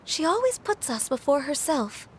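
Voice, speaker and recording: High-voiced, gentle young lady. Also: very animated, normal speed, slight background noise. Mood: earnest. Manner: soft-spoken, polite, hesitant. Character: gentle, modest, dutiful young woman, drowsy office worker.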